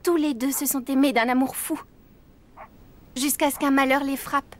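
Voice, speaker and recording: High-voiced dreamy young girl acted by a woman. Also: steady tone, moderate pace, close to an everyday voice. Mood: excited wonder. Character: dreamy, spirited young orphan girl who dances. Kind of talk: dubbed French cartoon acting. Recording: music behind the voice.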